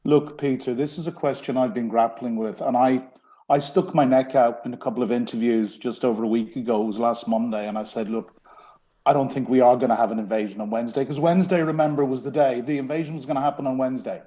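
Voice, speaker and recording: A man's voice: mid-range voice, steady tone, quick pace, dark tone. A man with a Dublin Irish accent, a guest on a radio interview, talking earnestly with many hesitations and restarts. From Ireland. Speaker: guest, former strategic communications advisor.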